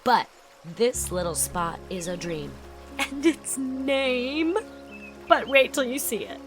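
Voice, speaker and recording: A woman's voice: on the high side, slow, faint music behind. A woman playing a chatty, effusive young society woman in an audiobook drama, leaning on words for dramatic emphasis. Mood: enthusiastic.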